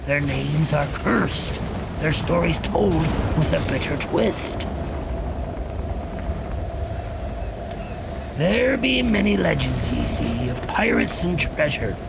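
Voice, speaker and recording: A man voicing a cursed, ghostly old pirate captain, telling grim tales in a theatrical, gravelly pirate dialect with an ominous, grandiose manner. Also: a little high, very animated, heavy background noise, exaggerated voice. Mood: grave, scornful. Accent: pirate-style dialect. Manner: ominous, grandiose, deliberate rhetorical delivery. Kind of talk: theatrical scripted monologue.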